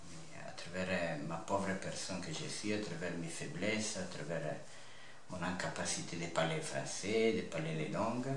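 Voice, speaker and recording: Low-voiced young Italian man, echoey room. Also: fairly bright tone. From north-east Italy (Friuli). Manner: earnest, halting phrasing with repeated words. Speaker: interview guest, seminarian about to be ordained priest. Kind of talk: personal testimony in an interview. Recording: echoey room with faint background noise.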